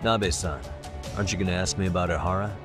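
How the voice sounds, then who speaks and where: An actor playing a serious, pushy, determined detective in an English video game dub. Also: male voice, sits low, fairly even intonation, fast, music in the background, close to an everyday voice. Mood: insistent. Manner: serious, pressing. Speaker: private detective character.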